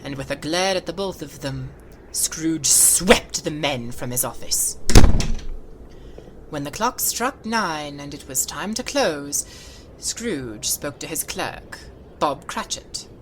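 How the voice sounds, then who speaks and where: A woman reading a storybook aloud, slow and deliberate, her pitch swinging widely to bring the scenes to life. A faint hiss of noise sits under the voice. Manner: expressive, measured. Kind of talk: storybook narration.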